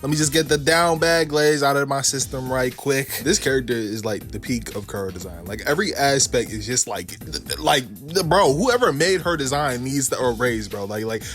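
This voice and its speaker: A man with a mid-pitched voice narrates a game story recap. He talks casually in heavy slang, swears freely and gushes like a fan. Music plays behind him. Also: moderate pace, a bit bright. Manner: slangy, profane, gushing fan talk.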